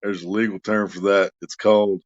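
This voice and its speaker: A man's voice: fairly low pitch, little pitch movement, brisk, no room sound, highs cut off. A man, chatting crudely at home and joking around. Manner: crude joking, bursts into loud off-key singing. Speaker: vlogger. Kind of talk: casual chat at home.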